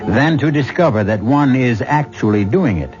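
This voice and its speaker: A man hosting and narrating a 1970s radio mystery series in a measured, wry, dramatic scripted style. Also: mid-range voice, animated, music in the background. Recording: muffled 1970s radio recording.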